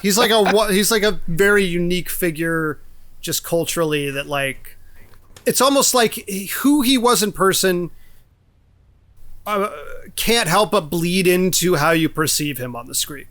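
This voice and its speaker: A man talking casually about films as a podcast panelist, phrasing his thoughts in a halting, measured way. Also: high voice, expressive, a bit bright. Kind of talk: casual film discussion.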